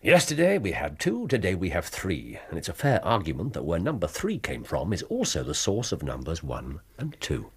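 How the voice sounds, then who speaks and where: An actor playing a keen, playful, commanding Victorian English gentleman detective in a radio drama, speaking with an English accent in a brisk, wry, theatrical way. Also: sits low, huge pitch swings, breathy edge, heavily acted. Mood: keen, triumphant.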